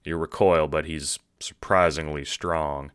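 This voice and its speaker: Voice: rumbling low, fairly even intonation, measured pace, clear, resonant tone, no echo, clean studio sound. A narrator reading second-person audio drama narration in a storytelling style.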